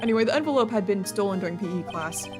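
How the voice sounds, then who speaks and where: A woman's voice: medium pitch, expressive, very fast. A woman reading a game's dialogue aloud, playful, with jokey asides. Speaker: let's play streamer. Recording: game music behind.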